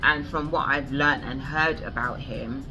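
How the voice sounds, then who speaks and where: A woman's voice: a bass voice, fairly even intonation, measured pace, rough recording. Woman, British accent, interviewer, encouraging, casual chat, background music. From United Kingdom. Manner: encouraging, frequent short agreements. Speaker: interviewer and channel host.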